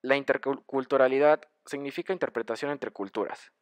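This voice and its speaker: A man's voice: medium pitch, brisk, dull audio. A man reading scripted explanatory narration for a documentary.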